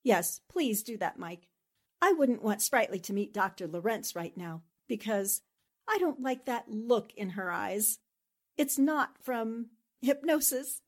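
A woman's voice: on the high side, expressive, unhurried. A woman playing a shrewd, composed newspaperwoman in a 1940s radio drama, with a wry, knowing manner.

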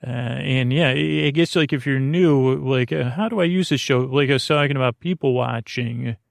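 A man hosting a bedtime podcast in a creaky voice, meandering and halting as he loses his thread and circles back. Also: mid-range voice, no room sound, clean studio sound. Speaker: podcast host. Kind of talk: rambling bedtime podcast monologue.

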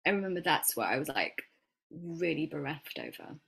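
A woman's voice: low voice, big pitch swings, moderate pace, gravelly, a bit bright, husky. A woman herbalist who grew up in Florida and England, telling her story as an interview guest in a reflective, hesitant way. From grew up in Florida, then England. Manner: reflective, hesitant storyteller with many fillers and restarts. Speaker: guest, herbalist and medicine maker. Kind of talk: conversational interview.

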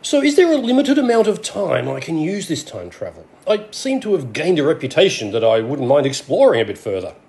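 Male actor as haughty alien patriarch with a roguish streak: highish voice, extremely swooping intonation, pompous and dry, radio comedy drama. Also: big pitch swings, a little fast, vocal fry, few pauses, darkish tone. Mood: flirtatious, cocky. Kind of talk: scripted amateur radio play.

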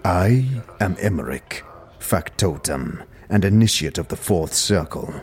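A man playing a solemn, heavy-set dustman with a stony voice and formal, measured speech in a fantasy audio drama. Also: deep voice, expressive. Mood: grave, solemn. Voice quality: gravelly, stony.

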